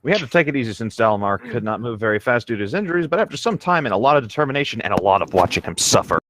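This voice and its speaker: A man's voice: mid-range voice, sing-song, racing, a bit bright, dry recording. A man co-hosting a comic read-aloud, moving between story narration and casual asides. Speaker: co-host reading stories aloud.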